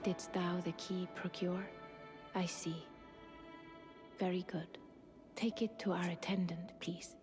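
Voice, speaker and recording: A mysterious noblewoman from a fantasy game. Her voice is low for a woman and very slow, hushed and courteous in an archaic way, with music clearly behind it. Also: flat delivery. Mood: calm, hushed. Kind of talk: scripted fantasy game dialogue.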